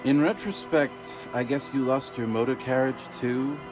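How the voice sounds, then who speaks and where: A man's voice: medium pitch, normal speed, over music, natural voice. A man playing a wry, philosophical drunkard in a video game, telling tales in a laid-back, mock-eloquent way. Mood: wry sympathy. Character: wry, boozy male alcoholic storyteller, grandiose and philosophical. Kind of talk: video game character monologue.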